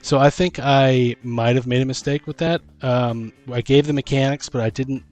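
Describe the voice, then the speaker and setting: A man with a mid-pitched voice chats casually as a podcast host and dungeon master. He thinks aloud in an informal way about running games, with faint music underneath. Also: steady tone, moderate pace, a little mellow.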